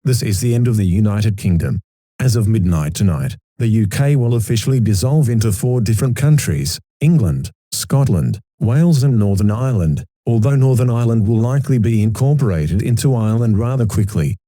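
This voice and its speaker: A man's voice: fairly low pitch, animated, brisk, dark tone, dry recording, studio quality. A man playing a serious political analyst, giving a scripted live news report in a formal, matter-of-fact way.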